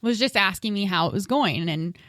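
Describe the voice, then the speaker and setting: A woman's voice: medium pitch, lively intonation, a little fast, fairly bright tone, no echo. Woman, mid voice, chatty panel regular, dry close sound. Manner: chatty, laughs often, quotes others. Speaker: podcast co-host. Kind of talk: casual chat.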